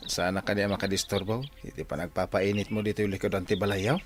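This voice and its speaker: An actor with an Ilocano accent playing a mild, conciliatory husband in a radio drama, polite and a little hesitant. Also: male voice, on the low side, level tone, quick pace, soft background music, lightly acted. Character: mild, conciliatory husband plotting to reconcile wives. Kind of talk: Philippine radio drama acting.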